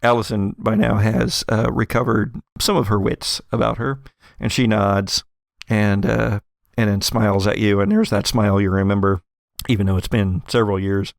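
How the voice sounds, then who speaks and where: A man improvising narration as game master of an actual-play audio drama, halting and correcting himself as he goes. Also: fairly low pitch, steady tone, vocal fry, no echo, studio quality.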